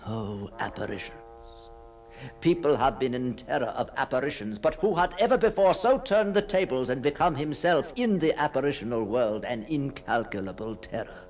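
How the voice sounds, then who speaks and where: A man's voice: mid-range voice, animated, normal speed, faint music behind, close to an everyday voice. An actor playing an obsessed, brooding man who stalks apparitions, telling his ghost story in a theatrical, intense monologue. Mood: proud, absorbed. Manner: theatrical, hushed passages swelling into fervent declamation. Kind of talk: dramatic first-person ghost story monologue.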